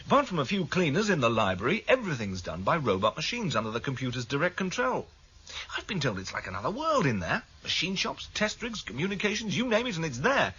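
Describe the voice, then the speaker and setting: Bright, raspy, animated know-it-all. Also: male voice, on the high side, quick pace, dull audio, full character voice. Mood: confident, boastful. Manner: chatty, emphatic, confident. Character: breezy, scoffing male colleague, confident know-it-all. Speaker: actor in a radio drama. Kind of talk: radio drama acting.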